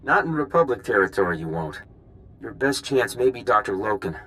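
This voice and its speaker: A man playing a dutiful, practical field agent in a video game, brisk and frank. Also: mid-range voice, sing-song, fairly bright tone. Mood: businesslike, focused.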